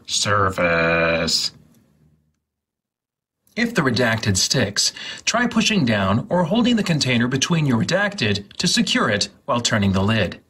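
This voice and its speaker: A man reading an instruction guide aloud in a mock-serious, theatrical, deliberate way, with a husky voice. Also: mid-range voice, bright, forceful tone. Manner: theatrical, deliberate, repeats words for emphasis.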